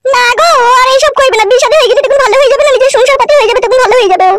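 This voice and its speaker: A woman's voice: extremely high pitch, very fast. A woman playing a protective, pleading village mother in a comedy cartoon.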